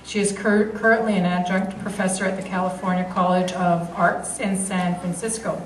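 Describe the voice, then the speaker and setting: A woman school principal giving a formal welcome speech in a school library, pausing often with fillers. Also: lowish voice, steady tone, slight background noise. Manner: hesitant, with frequent fillers. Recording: distant, roomy school library.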